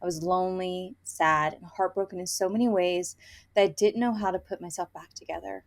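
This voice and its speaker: A woman's voice: on the low side, fairly even intonation, a little fast. A woman co-hosting a book review podcast, chatting casually and gushingly and sometimes reading quotes aloud. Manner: informal, chatty, gushing, frequent "like".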